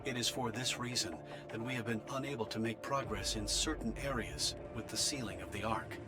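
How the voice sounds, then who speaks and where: An actor playing a solemn male official in a game, formally and diplomatically delivering a military briefing in measured phrases. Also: mid-range voice, fairly even intonation, close to an everyday voice. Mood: grave, businesslike. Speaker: official voice of the Ark leaving a recorded message. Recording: music behind the voice.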